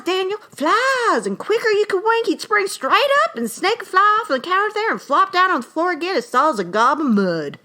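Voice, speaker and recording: A woman's voice: sits high, pushed and bright, pitched above the performer's own voice, heavily acted. A woman performing a comic short story as a rambling, folksy old-time yarn-spinner, with an earnest, conversational manner. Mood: excited, admiring. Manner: folksy, drawn-out, conversational storytelling in rustic dialect. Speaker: actor reading aloud.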